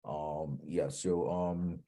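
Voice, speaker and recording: A man's voice: rumbling low, steady tone, extremely slow, dark tone. A man from Cameroon, moderating an online Q&A in a hesitant way full of fillers and restarts. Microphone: video call.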